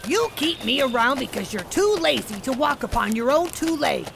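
A woman voicing a wisecracking talking animal companion in a radio play, with creaky tone and dry, sardonic quips. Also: high voice, lively intonation, normal speed, background noise. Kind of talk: theatrical radio drama acting.

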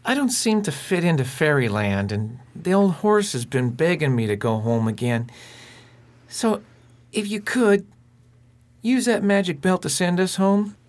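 A man's voice: sits high, expressive, unhurried. A man playing a polite, homesick ranch boy in a radio drama, earnest and plain-spoken.

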